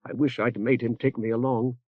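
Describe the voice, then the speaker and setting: A male audiobook narrator voices an earnest young woman who speaks softly. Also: mid-range voice, flat delivery, fairly quick, dry recording, phone-quality sound, close to an everyday voice. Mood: worried.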